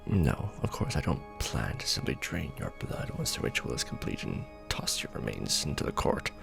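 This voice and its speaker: A courtly vampire lord acted by a man speaks in a low voice with a lively, shifting melody. His manner is formal and conflicted, set to music in a romantic fantasy roleplay. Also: heavily acted. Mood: tender, awkward. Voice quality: low, creaky. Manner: formal, measured, hesitant when tender.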